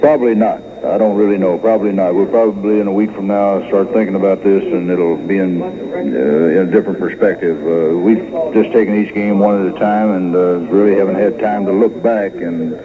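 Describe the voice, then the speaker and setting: A man being interviewed as a football coach after a game, halting and repeating himself as he answers. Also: low-pitched, fairly even intonation. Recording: tinny radio line with crowd noise. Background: crowd noise.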